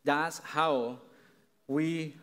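A man with a Mexican accent preaches slowly, with a fairly high voice, stopping and restarting his phrases. His words carry a long echo from a large hall. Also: steady tone, fairly bright tone, clean tone. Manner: earnest, halting, many restarts. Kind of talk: sermon.

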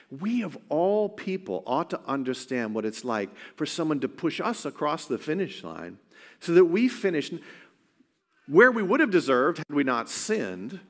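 Male preacher, mid-pitched voice, earnest conversational delivery, long hall reverb. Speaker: pastor. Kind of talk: sermon.

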